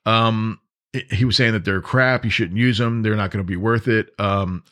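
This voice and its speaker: A man's voice: lowish voice, fairly even intonation, normal speed, sharp tone. A man hosting a podcast in a conversational, instructive way, repeating points for emphasis. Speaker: podcast host. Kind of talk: conversational podcast monologue on emergency preparedness.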